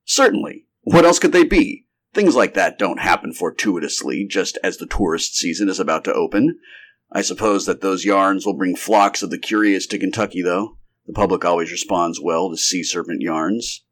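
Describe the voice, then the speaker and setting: A man's voice: low voice, moderate pace, fairly bright tone. A man voicing a brusque, skeptical male scientist in a story, with emphatic, wordy, learned phrasing. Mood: scornful. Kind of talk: acted story dialogue.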